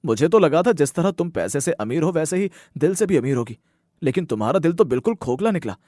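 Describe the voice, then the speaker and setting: A man's voice: on the high side, sing-song, fast talker, warm tone, no echo, studio quality, pitched above the performer's own voice. A man voicing an earnest, dutiful grown son and exasperated husband, speaking emphatically in a Hindi moral story cartoon. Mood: exasperated, angry.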